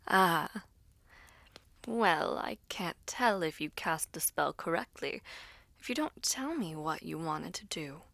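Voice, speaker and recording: Woman playing a slow, coy, mysterious feminine entity. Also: low-pitched, animated, gravelly, fairly bright tone, dry recording, exaggerated voice. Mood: composed, teasing. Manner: slow, composed, formal, coy. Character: mysterious poised female alter-being within Serena, teasing, secretive. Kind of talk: audio roleplay acting, addressing the listener.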